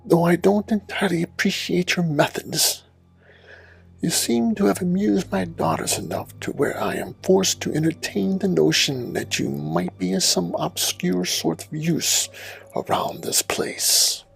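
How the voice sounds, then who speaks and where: A man playing a stern, imperious master and parent in an audio drama, speaking in a formal, haughty, measured way. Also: high voice, creaky, breathy edge, soft background music, exaggerated voice. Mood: haughty, grudging. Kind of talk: audio drama character acting.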